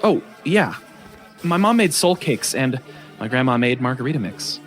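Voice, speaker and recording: A man's voice: medium pitch, very animated, moderate pace, faint music behind, lightly acted. An actor playing a shy young man at a Halloween party who stumbles and hesitates when flustered. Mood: awkward. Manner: hesitant, flustered, filler-heavy.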